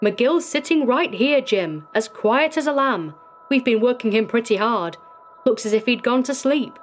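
A woman narrator puts on a male prison warden, hearty and complacent. He talks with breezy authority in a dramatic short story, pitched a little above her narration. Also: lively intonation, a little slow, slight background noise. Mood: dismissive.

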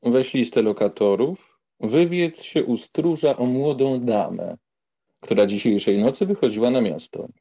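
An actor in a dramatized audiobook playing a haughty young aristocrat, curtly giving orders to a servant. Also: male voice, medium pitch, animated, measured pace, mellow. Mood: brisk, commanding. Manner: commanding, curt.

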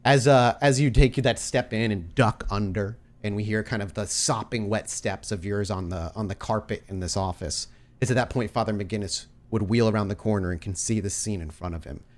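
A man's voice: on the low side, moderate pace. A man improvising narration as the storyteller of a tabletop roleplaying game.

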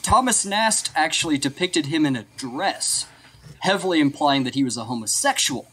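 A man's voice: a little high, animated, moderate pace, bright, forceful tone, husky. A man hosting a casual history podcast, explaining events from his notes and often laughing with his co-hosts. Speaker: podcast host presenting history.

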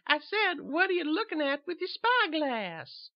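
A man voicing a timid, fretful old spinster, speaking in a stammering, pleading falsetto. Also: sing-song, unhurried, highs cut off, full character voice. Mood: puzzled.

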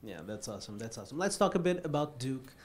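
A man's voice: mid-range voice, very animated, fast, darkish tone. A man with a mid-range voice works as the interviewer, asking loose questions. He stops and restarts often, with plenty of fillers between his words. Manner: hesitant, many fillers and restarts.

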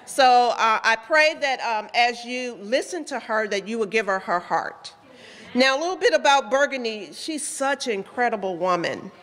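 A woman ministry leader giving an affectionate, slightly halting introduction at a podium mic. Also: a little high, expressive, a bit bright. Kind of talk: warm introduction. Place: large hall, indoors. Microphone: stand mic at podium. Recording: reverberant hall.

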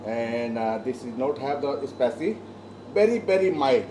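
A male chef explaining dishes while he cooks in a restaurant kitchen, in halting, simple phrases, picked up off-mic. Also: medium pitch, animated, dark tone, slight background noise, rough recording.

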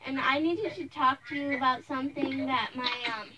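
A woman playing an indignant, protective mother, scolding in a halting, emphatic way in an improvised amateur role-play. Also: high voice, steady tone, highs cut off, over a noisy crowd, natural voice. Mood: stern, indignant.

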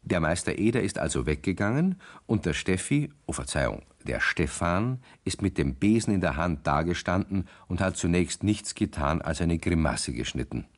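A man narrating a scripted 1960s radio play as a storyteller. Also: deep voice.

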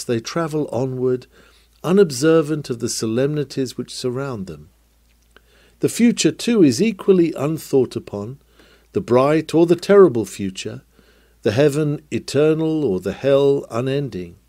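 Male reader, dark mellow voice, solemn declamatory sermon delivery with swells and hushed drops. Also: medium pitch. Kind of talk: reading a Victorian sermon aloud.

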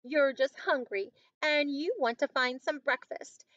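A woman's voice: high-pitched, a little slow, fairly bright tone, no echo, highs cut off, pitched above the performer's own voice. A woman voicing a blunt, distrustful little forest animal in a children's storybook. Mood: accusing. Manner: blunt, suspicious.

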